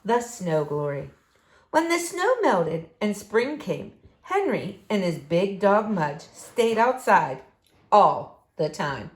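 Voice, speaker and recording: A woman's voice: mid-range voice, big pitch swings, takes it slowly. A woman reading a picture book aloud expressively to young listeners. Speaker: story reader for children. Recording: echoey room.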